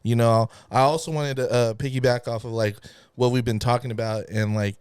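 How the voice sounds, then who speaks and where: A man hosts a podcast interview with a smooth, resonant voice. He talks slowly and halts often on fillers while he builds his questions. The sound is dry and close, with no room around it. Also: mid-range voice, fairly even intonation, darkish tone, no room sound. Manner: slow, hesitant, many fillers. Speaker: podcast host. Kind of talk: interview hosting.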